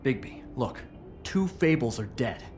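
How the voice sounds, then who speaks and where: An actor playing a smug, mocking loudmouth troublemaker in a video game, sarcastic, theatrical and talkative. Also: male voice, mid-range voice, sing-song, a little slow, slight background noise. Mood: needling, accusing. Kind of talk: dramatic video game acting.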